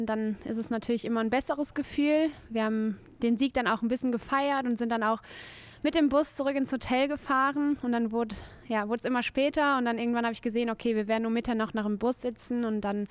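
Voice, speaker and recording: A woman's voice: on the high side, steady tone, brisk, smooth, no echo. A woman in her thirties from Belgium, a footballer answering interview questions in an easygoing way with many fillers and light laughs. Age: 30s. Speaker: guest, footballer.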